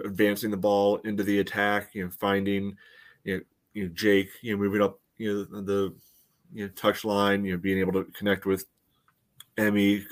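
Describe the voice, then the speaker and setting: A man co-hosting a podcast, giving casual sports analysis in a halting way full of verbal filler. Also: lowish voice. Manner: halting, peppered with "you know". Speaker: podcast co-host.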